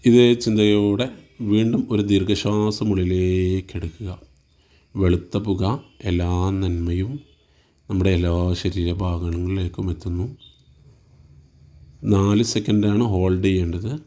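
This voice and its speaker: Meditation guide: Malayali man, lowish pitch, very soft dark tone, slow soothing instructions, spaced phrases. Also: flat delivery.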